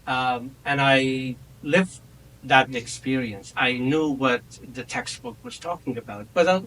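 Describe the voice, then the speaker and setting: A man's voice: mid-range voice, animated, a bit bright, poor audio. Reflective man chairing a memorial roundtable. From Iran. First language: Kurdish. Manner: reflective and measured, personal storytelling. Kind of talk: memorial remarks at an academic roundtable. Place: conference room.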